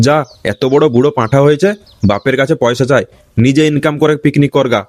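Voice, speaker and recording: A man's voice: mid-range voice, quick pace, a little mellow, a little hiss or hum, close to an everyday voice. Man acting grumpy, scolding village old-timer in cartoon. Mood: exasperated. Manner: scolding, threatening, indignant. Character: grumpy, foul-mouthed village grandpa and stingy father. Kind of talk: comic cartoon dialogue.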